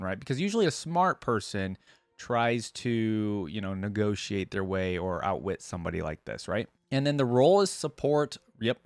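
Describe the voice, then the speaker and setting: A man's voice: mid-range voice, no echo. Casual male reaction host, close dry sound. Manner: thinks aloud with frequent tag questions. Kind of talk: casual reaction commentary mixed with reading aloud.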